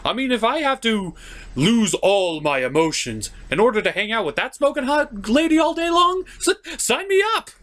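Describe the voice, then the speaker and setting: An excitable, shameless puppet sidekick, played by a man, pitched high and swooping about. The character is lecherous and brash, blurting things eagerly in a comedy puppet show. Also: moderate pace, heavily acted.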